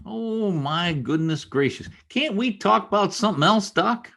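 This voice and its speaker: A fretful rustic sidekick, acted by a man in a Western radio drama. His voice is on the high side, creaky and very animated, with a simple, folksy way of talking. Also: a little slow, full character voice. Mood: exasperated, bewildered. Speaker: actor in an amateur radio drama. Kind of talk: old-time Western radio acting.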